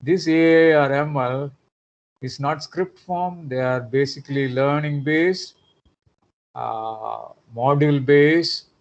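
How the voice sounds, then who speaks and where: A man giving an online lecture, pausing often on "uh" and asking rhetorical tag questions. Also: fairly high pitch, dull audio.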